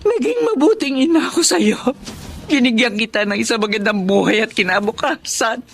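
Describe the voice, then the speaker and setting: A woman's voice: on the high side, animated, normal speed, faint music behind. An actress playing a betrayed, wealthy mother, reproachful and melodramatic, in a Filipino radio drama. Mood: anguished pleading.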